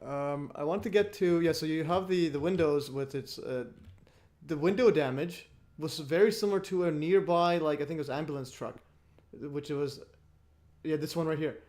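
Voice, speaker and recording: A man with a fairly high voice hosts a loose online hangout. He rambles, restarts his sentences and laughs between points. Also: normal speed, a little mellow. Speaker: host. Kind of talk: casual livestream chat.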